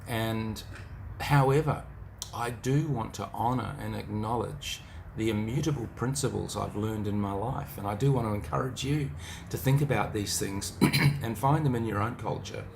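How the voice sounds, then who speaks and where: A man's voice: lowish voice, normal speed, vocal fry, darkish tone, slight background noise. A middle-aged man with a New Zealand accent giving an informal motivational talk, reflective and speaking straight to the listener.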